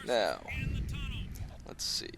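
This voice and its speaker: A man's voice: extremely slow, music in the background. A man giving casual, unscripted gameplay commentary as a video host, talking in an easygoing, rambling, conversational way. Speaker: host of a gaming video channel.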